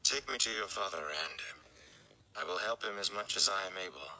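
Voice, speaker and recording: A man voicing a calm, tired, commanding sorceress in a storybook reading, measured and in control. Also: low voice, gravelly, slight background noise, full character voice, low-quality recording. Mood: calm, dry.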